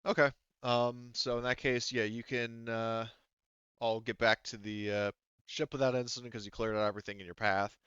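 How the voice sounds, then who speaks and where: A man's voice: mid-range voice, little pitch movement, normal speed, pushed and bright, clean tone, no room sound, lo-fi sound. A man acting as game master, narrating a tabletop roleplaying game in a casual, hesitant way.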